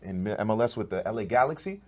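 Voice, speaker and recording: A man's voice: on the low side, expressive, fast. A man from the United States hosting a casual sports talk show, chatty and upbeat.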